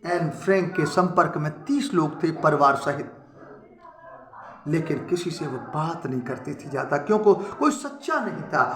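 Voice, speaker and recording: A male teacher retelling a story in a lecture for school students, animated and full of sudden emphatic rises. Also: on the high side, echoey room.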